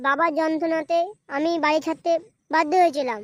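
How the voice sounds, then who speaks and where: A man's voice: very high voice, steady tone, fast talker. A man voicing a brash, irritable young village man in a comedy cartoon, foul-mouthed. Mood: aggrieved. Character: brash young village man, runaway son back with his bride. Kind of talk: comedy cartoon dubbing in colloquial village speech.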